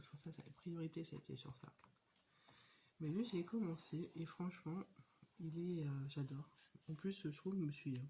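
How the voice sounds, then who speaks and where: A woman in her thirties with a cold, chatting casually and hesitantly about her crafting hobby, with many pauses and fillers. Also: low-pitched, dark tone, slight background noise. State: has a cold. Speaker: hobby vlogger. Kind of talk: casual show-and-tell chat.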